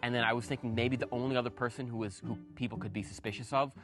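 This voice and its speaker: A man chatting as a game show contestant, quick and conversational. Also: medium pitch, fairly even intonation. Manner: quick and chatty, mixes in Mandarin. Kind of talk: casual group chat. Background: music.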